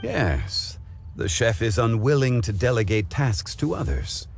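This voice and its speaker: An actor voicing a calm, wise male storyteller in a game, speaking in measured, formal phrases. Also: mid-range voice, creaky, breathy edge, soft background music, exaggerated voice. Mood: thoughtful. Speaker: game character. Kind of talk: game cutscene voice acting.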